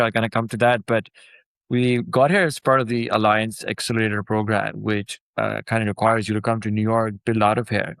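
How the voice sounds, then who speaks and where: A man from Pakistan, a startup founder, talking casually in a remote interview. He speaks loosely with lots of fillers and gets animated when he is excited. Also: lowish voice, gravelly, no room sound.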